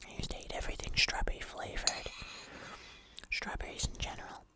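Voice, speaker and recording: Soft whispering ASMR host. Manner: chatty, unhurried, informal. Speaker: host of an ASMR eating video. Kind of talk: ASMR, casual chat to viewers.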